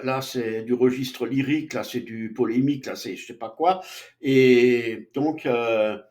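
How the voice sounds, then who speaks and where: A middle-aged man giving a hesitant, lecturing monologue, full of fillers and restarts. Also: mid-range voice. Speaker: commentator. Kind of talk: casual spoken monologue.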